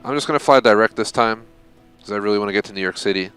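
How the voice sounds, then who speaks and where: A man's voice: on the low side, very fast, a bit bright, soft background music. A young man giving casual, rambling live commentary while playing a game, swearing when frustrated. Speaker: game streamer.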